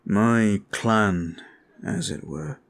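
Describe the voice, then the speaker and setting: A man voicing a brooding male vampire in an ASMR roleplay, talking in a slow, intimate, confiding way. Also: fairly low pitch, gravelly, heavily acted.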